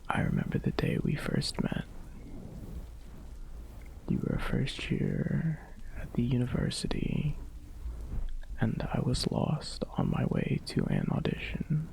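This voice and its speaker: A man as a slow, whispering, tender boyfriend. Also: mid-range voice. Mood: warm, reassuring. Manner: soft, breathy whispering, gentle and deliberate. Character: tender, adoring boyfriend comforting a sad partner. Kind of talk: ASMR roleplay, whispered.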